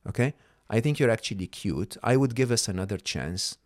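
A man's voice: fairly low pitch, lively intonation, fairly quick, darkish tone, no room sound, studio quality. A middle-aged man whose first language is not English, a podcast guest explaining ideas emphatically and often checking in with the listener. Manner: emphatic, checks in with "okay?" and "hmm?". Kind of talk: conversational coaching talk.

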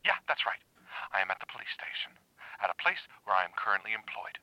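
A man's voice: fairly low pitch, sing-song, fast, bright, forceful tone, dry recording, full character voice. A man playing an exasperated, sarcastic police employee in an audio drama, heard through a telephone filter. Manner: sarcastic, pointed. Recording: dull muffled phone line.

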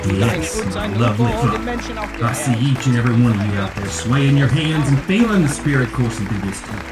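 A man's voice: medium pitch, animated, loud music behind the voice, lightly acted. An actor playing a greedy, folksy showman preacher delivering an unctuous sermon in an audio drama. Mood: unctuous, pious. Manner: unctuous, folksy, deliberate emphatic pauses. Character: greedy showman preacher working his congregation for donations. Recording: echoey, distant church hall with crowd.

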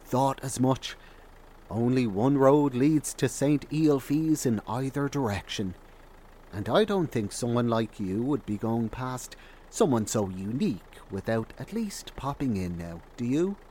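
A man's voice: mid-range voice, animated, unhurried, dark tone, close to an everyday voice. A man playing a shrewd roadside wanderer in an audio drama, with a knowing, sardonic drawl. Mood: knowing, candid. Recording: faint car engine noise.

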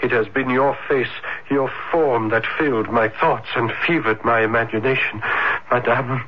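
An actor playing a shy, ardent young dreamer in a 1970s radio drama, hesitant and breathless with florid romantic phrasing. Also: male voice, medium pitch, fairly even intonation, normal speed, natural voice. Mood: fervent. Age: young adult. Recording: muffled noisy old radio broadcast.

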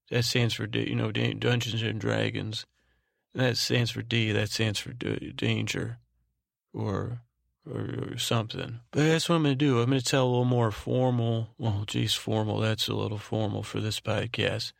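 Male sleep podcast host, mid-pitched with heavy vocal fry, very dry sound. Halting, repetitive, meandering bedtime rambling. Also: no room sound. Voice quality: soothing, soft-spoken drone. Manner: meandering, halting, repeats and trails off. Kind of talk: rambling bedtime monologue meant to lull.

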